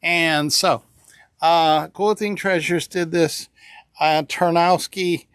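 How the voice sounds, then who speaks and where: An older man with a high, smooth and clear voice shows fabrics in a shop, rambling through each bolt with plenty of "uh"s before cheerfully naming the price. The sound is dry and close, picked up by a small camera on the counter. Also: steady tone, slow, fairly bright tone, no echo. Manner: rambling, many fillers, upbeat price calls. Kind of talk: casual product showcase. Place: indoor fabric store among shelves. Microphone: small camera on table nearby.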